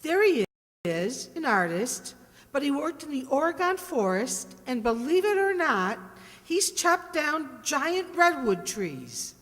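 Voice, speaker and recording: A woman's voice: high voice, big pitch swings, slow pace, a bit bright, heavily acted. A woman playing a spirited, chatty housewife in an old-style radio sitcom. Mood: gushing. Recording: long hall reverb.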